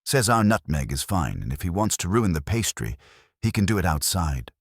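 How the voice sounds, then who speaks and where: A man voicing a nervous, helpful female bakery barista with a crisp, guarded manner in a detective story. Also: low voice, big pitch swings, normal speed, no echo, clean studio sound, exaggerated voice. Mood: nervous, defensive.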